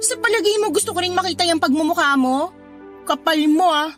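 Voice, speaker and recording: An actress playing a worried, exasperated pregnant young wife arguing in a radio drama. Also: female voice, very high voice, normal speed, a bit bright. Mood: hurt, indignant. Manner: exasperated, scolding, argumentative. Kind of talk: Tagalog radio drama acting. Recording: music bed behind the voice.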